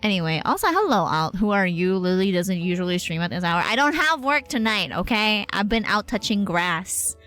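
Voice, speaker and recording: A woman game streamer chatting casually live, thinking out loud, exclaiming and swearing freely. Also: mid-range voice, sing-song, moderate pace, few pauses. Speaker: streamer reacting to a role-playing game. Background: game music behind the voice.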